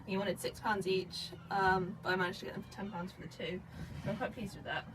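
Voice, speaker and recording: Young woman, a bit croaky with a cold, casual chatty vlogger, mid-range voice, noisy home recording. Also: mid-range voice, steady tone, fairly quick. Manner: chatty, rambling with many fillers. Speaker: vintage reseller vlogger. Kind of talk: casual chat. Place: home indoors. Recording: home recording with clear background noise.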